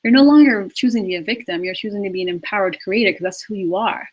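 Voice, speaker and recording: Woman in her 20s, spiritual life coach talking live to viewers, earnest and emphatic, mid-range pitch. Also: fast, breathy edge, poor audio. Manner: earnest, repetitive, emphatic, with laughs and restarts.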